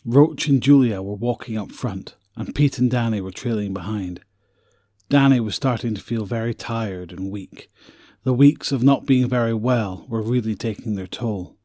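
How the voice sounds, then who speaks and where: A man's voice: lowish voice, normal speed, warm tone, dry recording, studio quality. A man reading scripted story narration for an audio drama.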